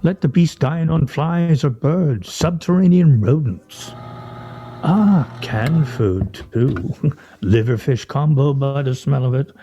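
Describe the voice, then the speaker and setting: Man acting a sardonic pompous husband in a radio play, slow and swoopy, theatrical wry delivery. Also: mid-range voice, animated, dark tone, dull audio, a little hiss or hum. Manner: theatrical, wry, mock-formal. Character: pompous, sardonic husband at a weekly scrabble game.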